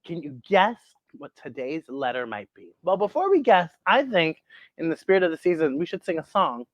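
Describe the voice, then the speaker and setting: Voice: medium pitch, sing-song, moderate pace, dry recording. Kids' storytelling host, theatrical and emphatic, stretches key words, close dry slightly dull recording. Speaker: children's show host. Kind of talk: storytelling host talk addressed to children.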